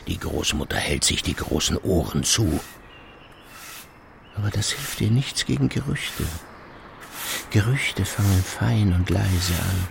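An actor playing a sardonic, brooding older husband, musing in a literary radio play monologue. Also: male voice, low-pitched, vocal fry, slight background noise, exaggerated voice.

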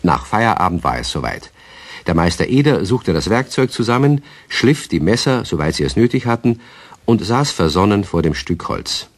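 A man narrating a scripted children's radio play as a calm storyteller. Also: on the low side, moderate pace. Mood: calm storytelling.

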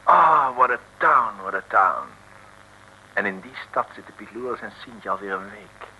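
A man's voice: on the low side, expressive, unhurried, sharp tone, dull audio. A man narrating an old comic radio serial in a theatrical, mock-dramatic, declamatory way. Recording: faintly noisy old radio recording.

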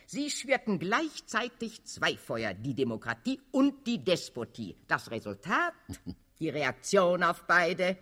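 A bright-voiced, scheming old cardinal. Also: male voice, sits high, sing-song, slow pace, full character voice. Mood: scornful, preaching. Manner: declamatory, sardonic, chuckling rhetoric. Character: scheming old cardinal statesman, fanatical, coldly authoritarian. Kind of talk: German-language radio drama acting.

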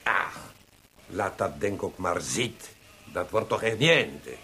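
A man's voice: deep voice, lively intonation, slow, pushed and bright, full character voice. An actor in a radio play voicing a flamboyant, teasing Italian libertine poet with an Italian accent, theatrically mixing Italian exclamations into his talk. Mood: sly, teasing. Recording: noisy archived radio play recording.

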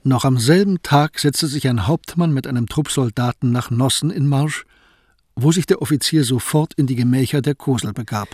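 A man narrating a historical radio drama in a measured, sober way. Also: medium pitch, darkish tone, dry recording. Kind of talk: scripted narration. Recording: dry close recording with music.

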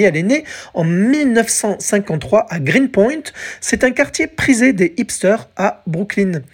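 A woman's voice: medium pitch, darkish tone, breathy edge. A woman hosting a podcast in a conversational explainer style, chatty, correcting herself and addressing listeners directly. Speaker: podcast host presenting songs.

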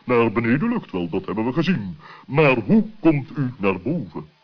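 Husky, fussy owl. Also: male voice, mid-range voice, big pitch swings, moderate pace. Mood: exasperated. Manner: wordy, hesitant, repeats himself. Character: fussy, indignant male owl, wordy and stubborn. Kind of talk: children's radio play acting. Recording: dull, lo-fi old radio recording.

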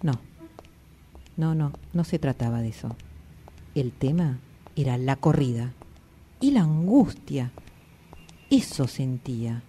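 A woman's voice: very deep voice, animated, takes it slowly, pauses often, mellow, breathy edge, heavily acted. A woman acting an anxious, introspective character in a radio drama monologue, confiding and dramatic, with a Buenos Aires accent. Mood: troubled. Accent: Argentine, Buenos Aires. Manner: confiding, dramatic, reflective with colloquial swearing. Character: anxious, introspective woman haunted by always running late.

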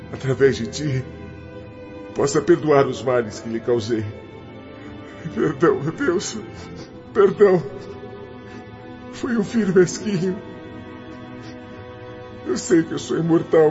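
A Brazilian actor playing a remorseful condemned spirit in a radio drama, pleading in anguish with God. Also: male voice, fairly high pitch, animated, slow, pauses often. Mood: desperate remorse. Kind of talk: radio drama acting in Portuguese. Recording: dull lo-fi audio under loud music.

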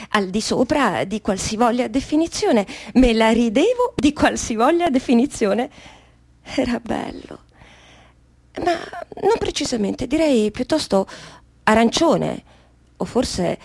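A stubborn sardonic unborn baby w grown-up mind, radio play, highish voice, very dry sound. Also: female voice, darkish tone, breathy edge, no echo, heavily acted. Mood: dreamy, delighted. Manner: self-correcting, repetitive, musing then emphatic. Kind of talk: theatrical radio drama monologue.

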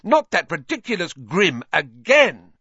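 A man's voice: high voice, huge pitch swings, a little fast, breathy edge, no room sound, highs cut off, voice pushed up, full character voice. A man voicing an impatient, bossy teenage schoolgirl who speaks sharply. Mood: indignant. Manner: sharp, exasperated.

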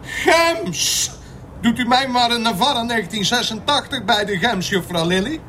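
An actor playing a jovial, pompous know-it-all plane passenger with an emphatic manner, in a satirical radio play. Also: male voice, very high-pitched, animated, moderate pace, pushed and bright, slight background noise, exaggerated voice. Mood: jovial, relishing. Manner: emphatic, storytelling.

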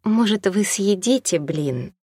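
A woman's voice: mid-range voice, expressive, slow pace, darkish tone, slightly rough, no echo, studio quality, voice pushed up. A woman voicing a meek, kindly older woman who fusses timidly in a story reading. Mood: timid offering. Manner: timid, conciliatory, easily hurt.

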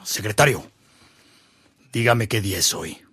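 Tyrannical governor: low, hard-edged, commanding and menacing, for radio drama. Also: male voice, measured pace, bright, forceful tone, slightly rough, no echo, heavily acted. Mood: threatening, curt. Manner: imperious, commanding, threatening. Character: tyrannical state governor bent on persecuting priests.

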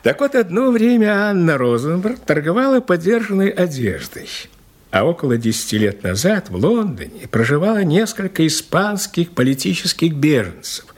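An actor in an audio play as a respectful police inspector, recounting a case in an emphatic, dramatic way with a slightly husky voice. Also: male voice, a little high, normal speed. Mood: gravely narrating. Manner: animated, respectful, emphatic storyteller.

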